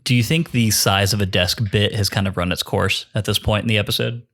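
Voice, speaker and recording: Low-voiced man hosting a casual podcast. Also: steady tone, brisk, fairly bright tone. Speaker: podcast host. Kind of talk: casual bantering podcast chat.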